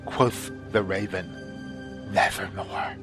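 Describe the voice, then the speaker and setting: A man's voice: low-pitched, steady tone, slow, over music. A man giving a dramatic recitation of gothic verse as one of a group of readers.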